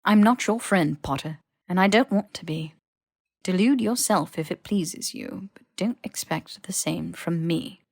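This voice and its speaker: A woman's voice: fairly low pitch, unhurried, vocal fry, no room sound, studio quality. A woman voicing a haughty, snippy young male wizard with sneering, clipped, posh phrasing in an audiobook. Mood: cold. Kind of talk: audiobook character voice.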